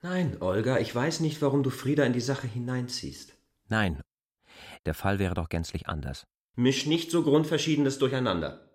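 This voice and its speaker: An actor playing a stubborn, indignant outsider in a German radio drama, objecting emphatically. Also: male voice, medium pitch, sing-song, moderate pace, lightly acted. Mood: indignant, defensive. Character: indignant, stubborn male outsider contradicting the villagers.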